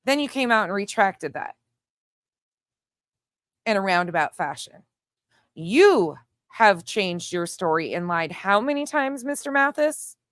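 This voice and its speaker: A woman's voice: medium pitch, big pitch swings, measured pace, long pauses, a bit bright, no room sound, clean studio sound. A woman hosting an opinionated online case commentary, speaking in a pointed, emphatic, argumentative way. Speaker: commentary show host.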